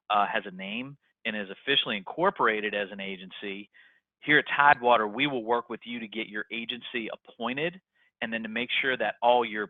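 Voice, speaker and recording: Male business trainer, average pitch, informal and emphatic presenter style, lo-fi call audio. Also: dry recording. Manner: explanatory, folksy, emphatic on key points. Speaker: marketing manager giving agent training. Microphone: conference call line. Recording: dull, lo-fi conference call audio.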